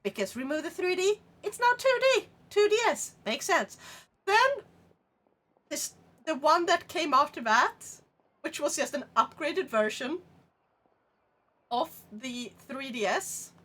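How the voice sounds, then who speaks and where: Lively high-voiced Swedish woman streamer. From Sweden. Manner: animated, rambling, laughs often. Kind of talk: casual chat with live chat.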